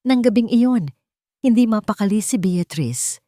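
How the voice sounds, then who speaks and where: A woman's voice: medium pitch, lively intonation, measured pace, dark tone, dry recording, clean studio sound. A woman reading a scripted romantic story as a dramatic narrator. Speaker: narrator of romance audio stories.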